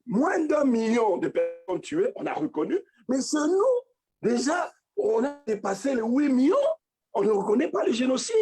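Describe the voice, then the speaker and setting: A man's voice: very high voice, big pitch swings, normal speed, mellow. A man from Congo, a professor speaking emphatically on a video call, repeating words as he stresses key points. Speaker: professor speaking at an online meeting. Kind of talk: impassioned lecture-style remarks.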